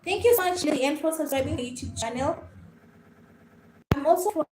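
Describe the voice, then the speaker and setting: A woman with a Kenyan accent and a high voice hosts a casual livestream. She talks in a friendly, conversational way. The room gives her voice a clear echo, with a little noise underneath. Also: animated, fairly quick. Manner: friendly, mixes English and Swahili, often thanks and greets viewers. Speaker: livestream host. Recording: echoey room with faint background noise.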